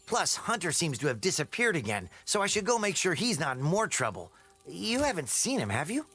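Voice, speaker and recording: A man's voice: on the high side, huge pitch swings, brisk, sharp tone, soft background music, full character voice. A man voicing a young, brave male dragon hero in a video game cutscene, easygoing and wry.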